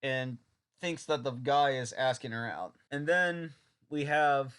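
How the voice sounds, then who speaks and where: A man's voice: medium pitch, slow pace, very noisy. A young man reviewing an old anime dub in a casual, sarcastic, rambling way.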